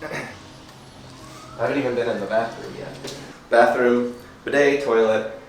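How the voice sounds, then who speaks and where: Hoarse man narrating a travel vlog. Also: mid-range voice, fairly even intonation, measured pace, slight background noise, low-quality recording. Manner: upbeat, enthusiastic touring. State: hoarse, losing his voice. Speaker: travel vlogger co-host.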